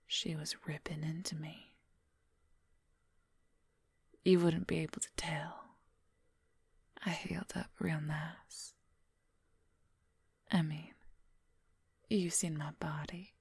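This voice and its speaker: A woman performs as a possessive werewolf woman in an ASMR roleplay. She whispers slowly with a very husky, breathy voice, intimate and wry. The sound is close and dry. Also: sits low, steady tone, darkish tone, dry recording, exaggerated voice. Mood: hushed, ominous. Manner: slow, intimate, confiding. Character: possessive female werewolf, former lawyer, wry intimate lover. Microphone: close microphone, dry.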